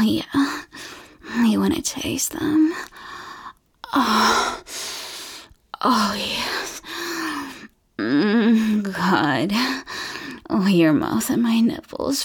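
A woman performing an adult audio roleplay, mostly whispering in a breathy, teasing way with frequent moans. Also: fairly high pitch, expressive, drawn-out words, long pauses.

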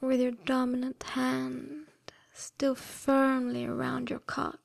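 A woman in a scripted hypnosis ASMR style, mostly whispering breathily in a hushed, deliberate, soothing yet commanding manner. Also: sits high, completely flat delivery, gravelly, dry recording, clean studio sound. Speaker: erotic hypnosis audio performer.

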